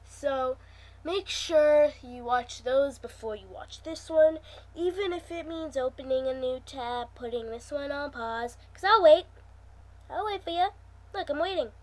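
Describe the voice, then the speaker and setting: A woman's voice: high voice, a little slow, darkish tone, rough recording. A woman hosting her own channel, chatting informally and reading a fan story aloud in an amateur, casual way.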